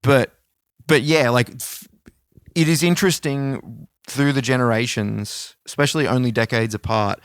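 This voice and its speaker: A man co-hosting a podcast, chatting casually and giving animated opinions with some casual swearing. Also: mid-range voice, moderate pace, no echo, clean studio sound. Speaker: podcast co-host. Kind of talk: casual music chat.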